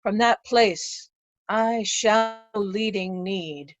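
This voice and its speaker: A woman playing a despairing, blinded old nobleman, grave, halting and lamenting, in a Shakespeare reading. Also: medium pitch, dry recording, muffled recording. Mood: weary resolve. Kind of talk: remote readers' theatre Shakespeare performance.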